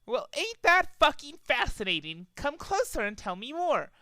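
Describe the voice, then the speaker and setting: A man's voice: extremely high pitch, sing-song, moderate pace, sharp tone, no echo, studio quality, pitched above the performer's own voice, full character voice. A man voicing a boastful, short-tempered female pony stage magician with theatrical announcing. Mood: irritated.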